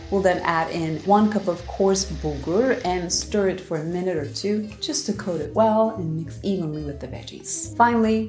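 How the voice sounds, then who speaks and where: A woman in her forties who grew up in Turkey, hosting a cooking lesson in scripted narration, clearly enunciated and upbeat, speaking into a studio mic on a stand in a home studio set. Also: on the low side, darkish tone. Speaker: cooking channel host. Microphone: studio mic on stand in front of her. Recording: roomy echo with faint music.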